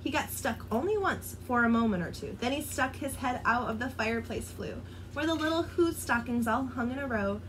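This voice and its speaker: A woman reading a children's rhyming book aloud, in an expressive, sing-song delivery. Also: a little high, normal speed, slight background noise, poor audio. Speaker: storyteller.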